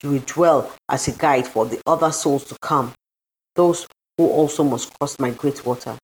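A woman voicing a solemn, merciful deity of the deep ocean with stately, formal delivery in a story. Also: moderate pace, poor audio.

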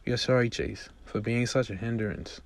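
A man's voice: medium pitch, normal speed, creaky, lightly acted. A man voicing an apologetic, doting mother with a soothing manner in a script reading.

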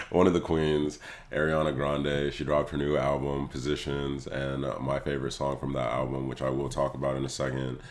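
A man hosting a casual podcast chat, hoarse from losing his voice, laughing often and talking loosely. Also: extremely low pitch, level tone, moderate pace. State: hoarse, lost voice. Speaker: podcast host.

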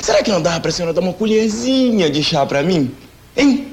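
A man's voice: high-pitched, animated, brisk, a little hiss or hum. An actor playing a fawning man who begs a columnist for a mention, in a wheedling, ingratiating tone. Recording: ad clip with music behind.

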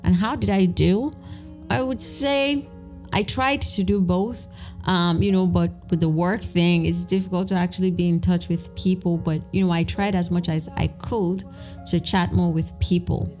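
A woman with a fairly low, slightly raspy voice hosts her own podcast. She talks in an earnest, encouraging way, filling pauses with little fillers, and music plays clearly behind her. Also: fairly even intonation, a little mellow. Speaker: podcast host. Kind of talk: solo reflective podcast talk.

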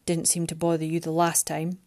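Proud cold winged guy, low clipped voice, woman reading him. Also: monotone, brisk, darkish tone. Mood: stern.

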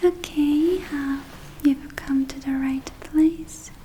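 A woman whispering in a breathy, gentle, unhurried way, performing an ASMR roleplay as a soothing witch healer. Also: sits high, steady tone, slight background noise. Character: soothing witch healer welcoming a spa client.

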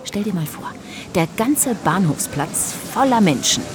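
An actress playing a chatty, scatterbrained narrator in an audio play, musing and drifting into playful asides. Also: female voice, medium pitch, very animated, a little slow, very noisy. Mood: musing, animated. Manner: musing, hesitant, wandering off into asides. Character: chatty, scatterbrained woman narrator, playfully straying from her script. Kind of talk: scripted audio play for a hiking trail, conversational.

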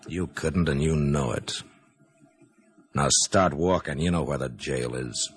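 An actor playing a calm, firm frontier marshal in a 1950s radio western, measured and quietly authoritative. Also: male voice, a bass voice, music in the background. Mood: steady.

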